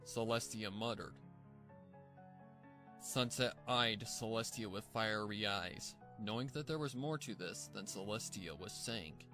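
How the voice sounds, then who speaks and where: Male narrator for dramatic fan fiction, lowish pitch, measured and theatrical, music underneath. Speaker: story narrator. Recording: music bed behind the voice. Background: background music.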